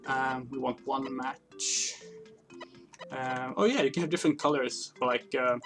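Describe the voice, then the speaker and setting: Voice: medium pitch, a little slow. A game streamer giving casual, rambling, explanatory livestream commentary in a hesitant way. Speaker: game streamer, channel host. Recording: game music behind the voice.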